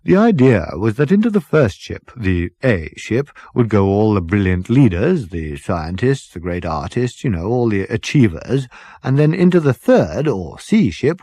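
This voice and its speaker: A man's voice: lowish voice, big pitch swings, moderate pace, mellow, breathy edge, dry recording, heavily acted. A man voicing a genial, vague ship's captain, rambling politely in a comic science fiction audiobook. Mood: rambling, cheerful. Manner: rambling, hesitant, chummy, polite upper-class politeness. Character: genial, vague, dithering male ship's captain, cheerfully unbothered.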